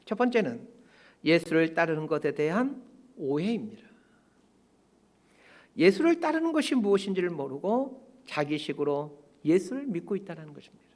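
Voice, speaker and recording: A man in his fifties preaching a sermon as a pastor, in measured phrases with pauses and emphatic stresses. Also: high voice. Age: 50s. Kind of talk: sermon, prepared and reflective.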